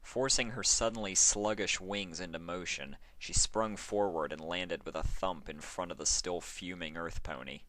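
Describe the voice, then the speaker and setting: A man with a low voice and a bright, forward edge narrates a story. His reading is scripted and expressive, with a very dry sound free of room echo. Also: normal speed, few pauses. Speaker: fan fiction narrator.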